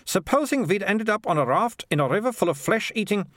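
A man's voice: high voice, animated, brisk, a bit bright, no room sound, studio quality, voice pushed up. A man voicing a proud, self-assured girl with a grand imagination in a storybook. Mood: breezy.

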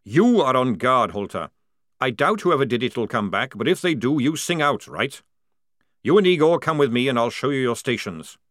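Gruff army sergeant, bossy, loud, barking commands, voiced by a man. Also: medium pitch, sing-song, moderate pace, dry recording, studio quality, voice pushed up. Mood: commanding.

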